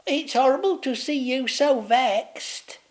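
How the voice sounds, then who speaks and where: A storyteller doing a high, fussing rustic maid. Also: takes it slowly. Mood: concerned. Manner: old-fashioned rustic speech.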